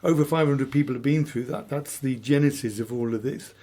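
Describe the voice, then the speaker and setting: A man's voice: medium pitch, a little fast, dark tone. A man in a conversational radio discussion, speaking as a money trainer with an enthusiastic, interjecting manner.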